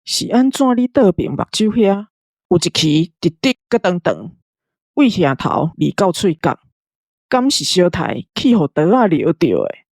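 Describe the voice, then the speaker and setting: A woman's voice: lowish voice, big pitch swings, measured pace, darkish tone, no echo, heavily acted. A woman playing a naive, curious young boy with a Taiwanese accent in a radio drama. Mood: innocent curiosity. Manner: innocently inquisitive. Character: naive, curious, oblivious young boy asking blunt questions.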